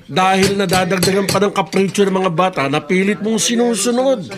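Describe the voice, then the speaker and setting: An actor playing a blunt, exasperated middle-aged friend with a Filipino accent, scolding in colloquial radio drama style. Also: male voice, high voice, a little fast, music in the background, close to an everyday voice.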